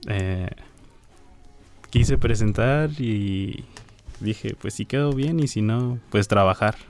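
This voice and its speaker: A man's voice: lowish voice, slow, darkish tone, faint music behind. A nervous young man from the port of Veracruz, a student guest giving brief answers. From Port of Veracruz, Mexico.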